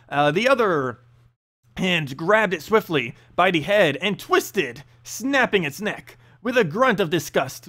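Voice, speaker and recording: Man, story narration, lively intonation, slow, halting, stumbling read-aloud. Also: high-pitched. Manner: halting, stumbling over the text. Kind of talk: reading a fantasy story aloud.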